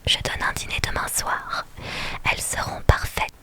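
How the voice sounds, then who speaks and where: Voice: normal speed, pushed and bright. A reader whispering the part of a blunt, brash woman friend who speaks her mind. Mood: insistent. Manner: whispered throughout.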